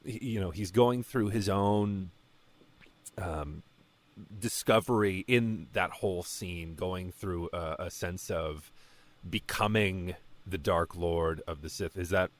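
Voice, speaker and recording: A man answering as a guest voice actor in a casual interview, halting and often correcting himself. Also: sits low, a bit bright.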